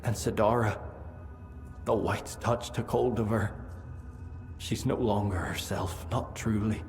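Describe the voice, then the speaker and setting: A grieving, humble tribesman from a fantasy game, talks in a lowish voice. His delivery is very slow, solemn and halting, with music clearly behind. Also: steady tone, a little mellow. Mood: sorrowful, humble. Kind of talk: dramatic fantasy video game acting.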